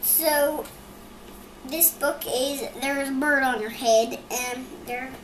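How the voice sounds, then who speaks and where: A child reading a picture book aloud and retelling it in a halting way. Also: sits high, steady tone, a bit bright. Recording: echoey, noisy room recording.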